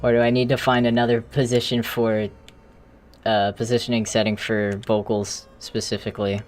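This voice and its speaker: A streamer thinking aloud in a halting, casual way while doing live coding commentary, with an unclear, mid-range voice. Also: medium pitch, level tone, a little hiss or hum. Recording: music playing behind the voice. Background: music.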